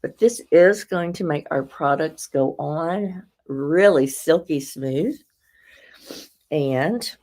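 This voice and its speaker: A woman with a slightly raspy voice hosts a casual live beauty product demo, chatting and rambling with viewers and laughing often. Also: low voice, animated, slow, a little mellow. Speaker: host presenting beauty products.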